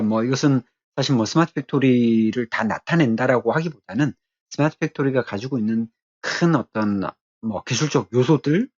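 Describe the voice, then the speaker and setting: A man giving an explanatory technical training lecture in a halting, deliberate way, pausing often between phrases. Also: mid-range voice, darkish tone, husky, muffled recording.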